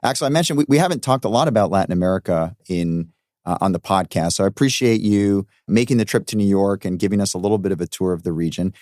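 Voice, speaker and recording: A man's voice: sits low, fast, a little mellow, no echo, studio quality. A man hosting a podcast interview, asking guiding questions in a conversational way. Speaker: podcast host. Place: studio.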